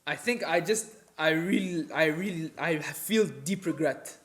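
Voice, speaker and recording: A man's voice: fairly high pitch, measured pace, fairly bright tone. A young man co-hosting a podcast, chatting casually in an earnest, reflective way. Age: young adult. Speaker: podcast co-host. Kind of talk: casual sibling podcast chat.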